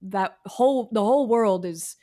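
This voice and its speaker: A woman's voice: mid-range voice, normal speed, warm tone. A woman chatting casually as an interview guest, candid and wryly self-deprecating. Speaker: guest author and essayist.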